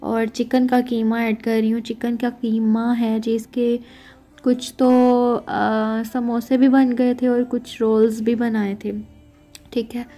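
Young woman, casual recipe talk, fairly high but flat voice, mellow tone, keeps checking in with viewers. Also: takes it slowly. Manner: chatty, keeps saying "theek hai" to check in with viewers. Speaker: cooking vlogger. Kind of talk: casual cooking narration.

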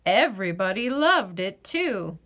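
A woman reading a children's picture book aloud in an expressive, sing-song storyteller's delivery. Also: medium pitch, measured pace.

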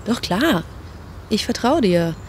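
Distracted young woman, hesitant, medium pitch, audio drama, faint background ambience. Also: very animated, takes it slowly, slight background noise. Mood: conciliatory. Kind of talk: naturalistic audio drama dialogue.

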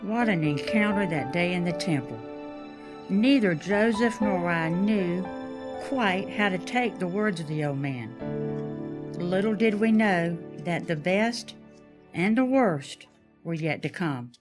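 Slow, reflective mother over music. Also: on the low side, animated. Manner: measured, reflective. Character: Mary, reflective mother recalling her life with wonder. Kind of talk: dramatic first-person storytelling narration.

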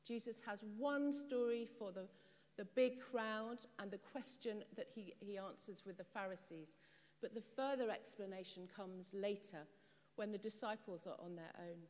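Middle-aged woman priest; measured sermon, higher voice, long church reverb. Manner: measured, explanatory preaching with rhetorical questions. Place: stone church, at a pulpit. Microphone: far camera.

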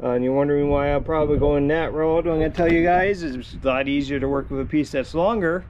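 A man's voice: fairly high pitch, fast talker, dull audio, background noise. A man in his fifties hosting a casual DIY vlog from his driveway and garage workshop, chatty and rambling, often saying "guys". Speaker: DIY channel host.

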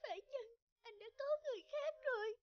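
Animated melodrama girlfriend, young, wounded, emotional; extremely high-pitched, muffled recording. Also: fast talker, no echo. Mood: tearful, accusing. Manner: plaintive, emotional. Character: heartbroken, reproachful young girlfriend.

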